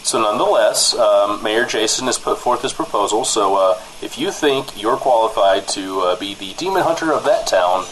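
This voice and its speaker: Animated male comedy radio host, straight man. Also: fairly low pitch, moderate pace, few pauses, background noise. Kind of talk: comedy radio news banter.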